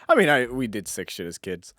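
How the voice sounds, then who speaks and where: A man's voice: medium pitch, huge pitch swings, a little fast, a bit bright, dry recording, studio quality. A man co-hosting a podcast, explaining his thoughts informally in casual conversation. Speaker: podcast co-host.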